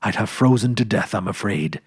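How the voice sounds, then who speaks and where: A man voicing a hearty grown brother just back from prospecting, telling his tale in a husky, lively voice. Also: lowish voice, moderate pace, dry recording, clean studio sound, pitched below the performer's own voice.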